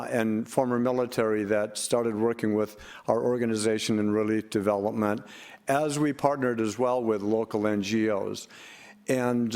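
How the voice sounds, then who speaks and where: An older man giving an unscripted conference panel talk, halting and rambling, with frequent hesitations. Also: medium pitch, clean tone. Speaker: panel speaker for a relief organization.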